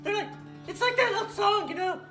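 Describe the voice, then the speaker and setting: Squeaky, excitable little girl puppet. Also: a little fast. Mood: giddy, rambling. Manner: rambling, repetitive, childlike. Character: high-voiced excitable bossy little girl puppet, easily distracted. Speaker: children's puppet show host. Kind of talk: playful improvised storytelling for kids. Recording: roomy echo, faint music behind.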